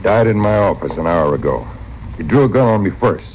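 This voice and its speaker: Deep, animated frontier doctor. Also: male voice, a little fast. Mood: grave. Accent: American. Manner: urgent, persuasive, paternal. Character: earnest, insistent frontier town doctor. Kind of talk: old-time radio western acting. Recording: muffled, noisy old radio recording.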